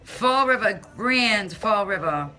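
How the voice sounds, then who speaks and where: A middle-aged woman with a Massachusetts accent that drops her R's chats loudly into a phone held at arm's length. Her tone is bright and carries well, and she greets viewers by name as she talks. Faint music plays in the background. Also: mid-range voice, expressive, slow. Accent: Massachusetts, non-rhotic. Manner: chatty, loud, talks to commenters by name. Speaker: Facebook live streamer. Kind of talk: casual live-stream chat with viewers. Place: home, by a window.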